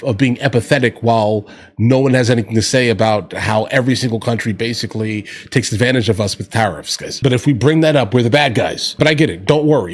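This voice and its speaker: Slightly husky man giving opinionated political commentary. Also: medium pitch. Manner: conversational, opinionated, slangy asides to viewers. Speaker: reaction commentator.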